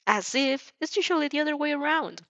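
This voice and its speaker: A woman's voice: high-pitched, lively intonation, a little fast, a bit bright, no echo, dull audio, voice pushed up. A woman voicing a confident, teasing and fond young girl in an audio story, playful and assured.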